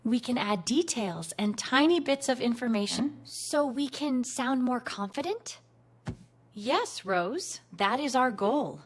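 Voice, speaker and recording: A woman teaching a beginner English class, speaking clearly, patiently and encouragingly in a scripted lesson. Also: a little high, lively intonation, takes it slowly.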